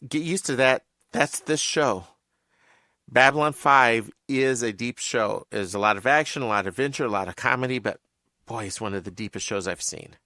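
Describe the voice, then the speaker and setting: A man's voice: mid-range voice, moderate pace, bright, forceful tone, dry recording, clean studio sound. A man co-hosting a fan podcast, chatting casually and explaining things carefully. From Mexican-American family. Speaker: podcast co-host. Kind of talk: casual chat.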